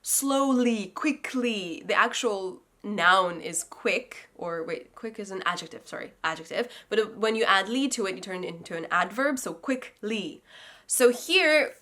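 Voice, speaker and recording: A woman's voice: mid-range voice, animated, a little slow, bright, forceful tone. A young woman, a native Russian speaker, casually breaking down song lyrics as a learner, thinking aloud and correcting herself as she goes. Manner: chatty, self-correcting, thinks aloud while translating. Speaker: language learning host.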